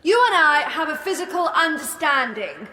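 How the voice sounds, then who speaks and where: A woman's voice: sits high, sing-song, normal speed, sharp tone, heavily acted. A woman playing an imperious, menacing headmistress of the elite in a radio drama, formal and haughty. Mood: haughty, threatening. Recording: echoey hall sound.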